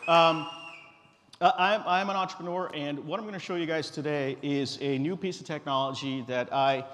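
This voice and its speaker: A middle-aged man from Guyana giving an informal live stage presentation in a relaxed, direct, joking manner. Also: on the high side, normal speed. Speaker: company founder presenting a tech demo. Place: conference stage in a large reverberant hall. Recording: echoey hall through a stage PA.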